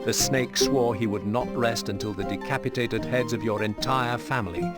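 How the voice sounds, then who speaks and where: Male narrator, scripted video game storytelling, measured, mid-pitched voice, clear musical backing. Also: loud music behind the voice.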